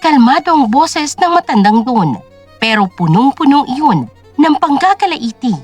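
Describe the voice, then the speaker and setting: A woman's voice: sits high, big pitch swings, a little slow, darkish tone, faint music behind. A woman narrating a scripted story as a dramatic audio drama.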